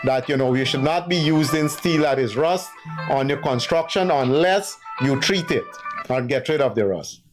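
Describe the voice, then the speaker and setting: A man's voice: medium pitch, moderate pace, faint music behind. A man hosting a live call-in radio show, firmly correcting callers. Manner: firm, corrects callers patiently.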